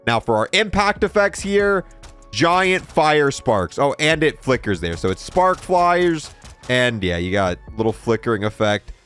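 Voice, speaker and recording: A man's voice: mid-range voice, big pitch swings, measured pace, fairly bright tone, smooth, soft background music. A man hosting a casual video game review, announcing each item and thinking aloud as he tests it. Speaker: gaming video host.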